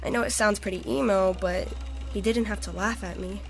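A woman's voice: medium pitch, fairly even intonation, brisk, vocal fry, soft background music, natural voice. An actress playing a wistful, bookish young woman who loves astronomy, in a natural audio drama. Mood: wistful, hurt.

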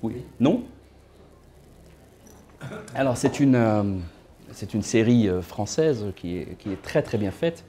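A man's voice: lowish voice, lively intonation, slow, pauses often, mellow. A man in his thirties, seated in an indoor room and filmed from a distance, giving a talk as a guest speaker. He speaks conversationally and tosses joking asides to the audience. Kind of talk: lecture to a small audience.

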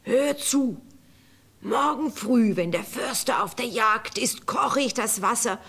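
A witchlike, scheming old female cook for a fairy-tale radio play. She speaks very slowly and deliberately in a fairly high, conspiratorial voice. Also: vocal fry, a bit bright, slightly rough, exaggerated voice. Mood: secretive, menacing.

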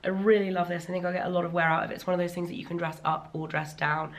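A woman in her thirties talks through a sponsored haul in an easy, enthusiastic way, dropping frequent ums. Her voice sits a little low for a woman and still sounds slightly worn from laryngitis. She is recorded on a camera mic in a bright living room. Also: little pitch movement, rapid-fire. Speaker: fashion vlogger. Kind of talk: casual sponsored haul vlog.

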